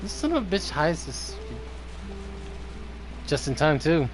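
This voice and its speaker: Slow-paced game streamer with swooping pitch. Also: big pitch swings, over music. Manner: teasing, sweary. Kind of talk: casual live gaming chat.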